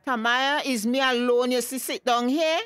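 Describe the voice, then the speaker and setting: A village mother with a Tobagonian accent and a high voice. She is stern and suspicious, speaking with indignant emphasis in radio drama style. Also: fairly even intonation, normal speed, a bit bright, clear, resonant tone, dry recording. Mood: scolding.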